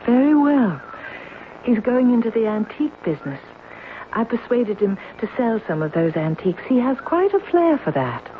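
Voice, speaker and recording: A woman's voice: fairly high pitch, big pitch swings, moderate pace. An actress playing a composed, earnest married woman living under a borrowed identity in a radio drama. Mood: composed, reassuring. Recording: narrowband old radio, faint noise.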